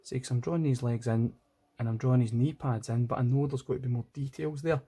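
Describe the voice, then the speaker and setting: A man's voice: medium pitch, fairly even intonation, quick pace, mellow, slightly rough. A man with a Scottish accent giving casual commentary as he draws, thinking aloud in an informal way. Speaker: illustrator narrating own drawing process. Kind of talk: casual art vlog commentary. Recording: music playing in background. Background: background music.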